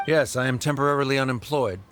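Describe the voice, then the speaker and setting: A man voicing a stiff, reserved middle-aged scholar and father in a video game, speaking formally and in measured phrases. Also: mid-range voice, fairly bright tone, slight background noise, close to an everyday voice.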